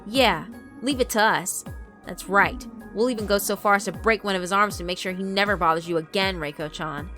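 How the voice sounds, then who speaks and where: A brash, hot-headed schoolboy bully acted by a woman, loud and self-righteous. The visual novel delivery is aggressive and vengeful, with music playing clearly behind. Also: medium pitch, a little fast, natural voice. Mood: furious, boastful. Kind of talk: visual novel character narration.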